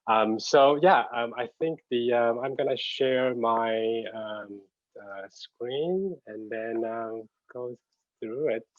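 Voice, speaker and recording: A middle-aged man from Taiwan with a Taiwanese accent, giving an informal online talk as a designer in a halting, hesitant way while searching for words. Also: mid-range voice, dry recording. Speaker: graphic designer and studio head giving a guest talk. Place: at home, on a video call. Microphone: computer video call. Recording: dull, lo-fi video call audio.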